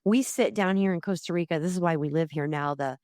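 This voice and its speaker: A woman in her fifties, a guest in an interview, speaking in a heartfelt, animated way. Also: on the low side, a little fast, no room sound, clean studio sound. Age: 50s. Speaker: guest, community founder. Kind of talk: conversational interview.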